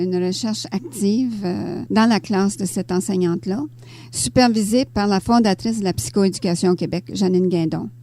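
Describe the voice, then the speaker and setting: An older woman from Ontario with a Canadian French accent, answering interview questions conversationally and pausing often as she thinks. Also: mid-range voice, dark tone. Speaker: interview guest, author and teacher. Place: radio studio.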